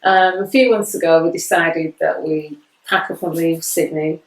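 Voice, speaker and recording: A woman's voice: low-pitched, steady tone, moderate pace, reverberant, poor audio. A woman, introducing herself and her partner as house sitters in a light, chatty way. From England. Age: 50s. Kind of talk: casual self-introduction video.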